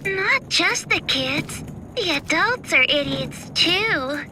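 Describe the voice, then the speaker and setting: Tiny wicked little girl in a game, super high voice, slow n sullen, music behind. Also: lively intonation, noisy background, full character voice. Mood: smug, scornful. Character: small girl, sweet-sounding but wicked, scheming, weary. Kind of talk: video game cutscene acting.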